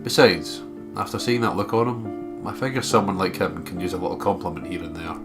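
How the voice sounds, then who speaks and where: A man's voice: low voice, fairly even intonation, a little fast, over music. A man with a blocked nose co-hosting a let's play, chatting and reading aloud in a dry, sweary way. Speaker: co-host of a gaming let's play. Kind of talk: casual chat and reading aloud while playing.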